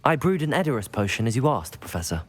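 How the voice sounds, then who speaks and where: Young wizard student, polite, level-headed, mid-pitched, animated intonation, fantasy game. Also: male voice, fast talker. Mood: polite, cautious. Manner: polite, cautious. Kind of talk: video game voice acting.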